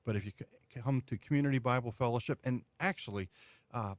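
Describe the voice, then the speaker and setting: A man's voice: medium pitch, quick pace, dry recording. A man as a radio studio guest, conversationally giving helpful, informative event details. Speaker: interview guest, event organizer. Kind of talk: conversational announcement.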